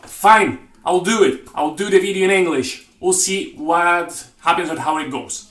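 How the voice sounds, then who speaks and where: A man's voice: high voice, measured pace, fairly bright tone. Man, casual joking cooking host, rambly and self-correcting, echoey home kitchen. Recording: roomy kitchen with faint noise.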